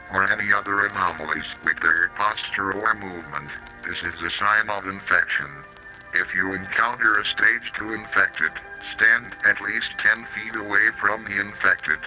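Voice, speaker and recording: Synthetic male narrator for horror safety tape: robotic, slightly low, piercingly bright, mechanical delivery over music. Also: poor audio. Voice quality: robotic synthetic text-to-speech voice. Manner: flat, mechanical, odd pronunciations. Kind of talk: scripted analog horror public-safety narration. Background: slow music.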